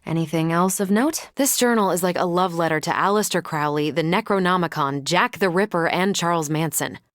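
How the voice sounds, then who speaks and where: A woman's voice: lowish voice, brisk, a bit bright, dry recording, studio quality, close to an everyday voice. A woman voicing a confident, wry young superhero soldier with an American accent, in casual, self-assured video game banter.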